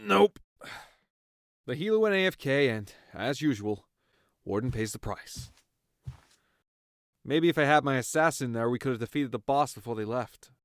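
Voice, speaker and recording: A man's voice: mid-range voice, lively intonation, normal speed, pauses often, no room sound, lightly acted. A man playing a frustrated, sardonic gamer in his late twenties, dry and grumbling, in an audio drama. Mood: annoyed. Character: frustrated, sardonic 28-year-old male gamer in wheelchair.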